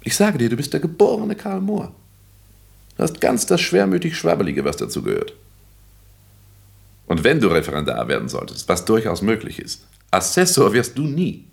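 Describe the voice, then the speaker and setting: A swooping, gravelly, cocksure young bohemian. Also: male voice, medium pitch, wildly swooping pitch, normal speed, full character voice. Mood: mocking, lecturing. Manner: declamatory, teasing, cocksure. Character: brash, theatrical young dropout turned aspiring actor. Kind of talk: German radio play acting.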